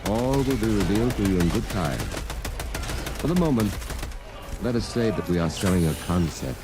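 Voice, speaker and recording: An actor playing a secretive, formal client who speaks with measured deliberation in an old-time radio drama. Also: male voice, lowish voice, lively intonation, natural voice. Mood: secretive, solemn. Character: mysterious, formal male client, secretive and commanding. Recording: old radio recording with music behind.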